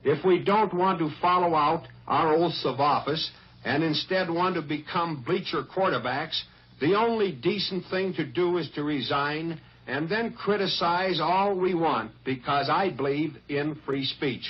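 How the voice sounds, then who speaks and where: A man's voice: on the high side, measured pace, slightly rough. A man with an American accent, a state governor, making a public statement on old radio. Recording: dull old radio recording.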